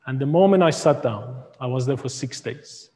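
A man in his thirties from Iran, speaking English with an Iranian accent, giving a halting personal testimony as a guest speaker in a church hall. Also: medium pitch, warm tone. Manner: halting, with many ums and broken grammar. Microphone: far camera. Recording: echoey hall from far camera.

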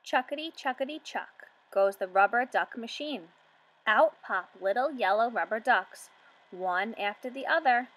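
A woman in her twenties reading a picture book aloud for young children, asking questions and enunciating deliberately. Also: fairly high pitch, expressive, a bit bright, a little hiss or hum, rough recording. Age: 20s.

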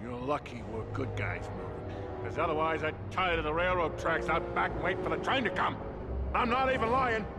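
An actor playing a bossy, self-important vigilante leader in a video game, briefing in a pompous, mock-military way. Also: male voice, fairly high pitch, animated, normal speed, over loud music. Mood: stern, exasperated. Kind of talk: video game cutscene acting.

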